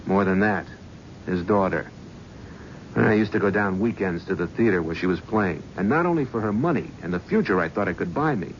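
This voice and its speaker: An actor playing a cynical young talent-agency gofer in a 1970s radio drama, narrating and talking in a brusque, flippant, wisecracking way. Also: male voice, sits low, expressive, a little fast. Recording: muffled old radio broadcast, faint hiss.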